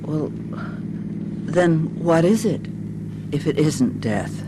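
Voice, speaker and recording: An actress playing a troubled, fearful wife in a radio mystery drama, hesitant and searching for words. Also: female voice, low voice, expressive, slow. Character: troubled wife fearing she and her husband are dead. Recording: radio drama with car noise behind.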